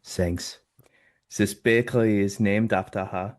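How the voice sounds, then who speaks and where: An actor playing a harmless, adorable baker in his eighties, gentle and a little wistful, at a script table read. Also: low voice, steady tone, takes it slowly. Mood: tender, wistful. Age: 80s.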